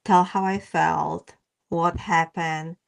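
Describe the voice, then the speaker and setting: A woman's voice: on the low side, steady tone, slow pace, gravelly. A woman whose first language is Polish, a memoir author answering interview questions in simple, hesitant phrasing. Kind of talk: podcast interview.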